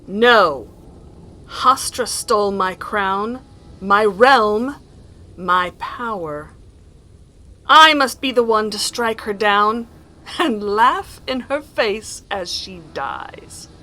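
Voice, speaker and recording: Woman as imperious deposed queen, vengeful and coaxing, drawn-out dramatic delivery, medium pitch, audio drama. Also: expressive, pushed and bright, heavily acted.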